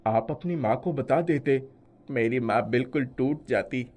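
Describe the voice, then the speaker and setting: A man's voice: medium pitch, unhurried, a little mellow, natural voice. A man playing an ailing, remorseful son devoted to his mother in a dramatised story. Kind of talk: dramatised audio story acting.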